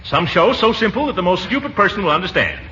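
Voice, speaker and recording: An actor playing a pompous, middle-aged comic uncle who blusters and chuckles, in 1940s radio sitcom style. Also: male voice, high-pitched, big pitch swings, fast talker. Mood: boastful. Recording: muffled, noisy 1940s radio broadcast.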